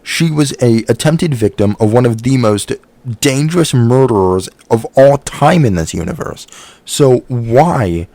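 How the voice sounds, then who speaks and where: A man's voice: mid-range voice, lively intonation, measured pace, dark tone, dry recording. A man giving an opinionated video review in an informal, sweary way.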